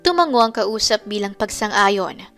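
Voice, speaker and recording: A woman's voice: medium pitch, lively intonation, moderate pace, fairly bright tone. A woman narrating a scripted romance story in a dramatic storytelling style. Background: background music.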